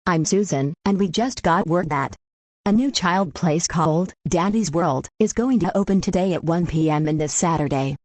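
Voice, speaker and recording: A woman's voice: lowish voice, moderate pace, darkish tone, dry recording, close to an everyday voice. A woman playing a composed local TV news anchor, reading a scripted newscast.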